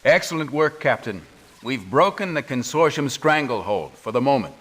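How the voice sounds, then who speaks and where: A grave middle-aged commander for a sci-fi game cutscene. He speaks at a medium pitch in formal military phrases. Every order carries urgency. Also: sing-song, normal speed, fairly bright tone. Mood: firm. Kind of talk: scripted game cutscene acting.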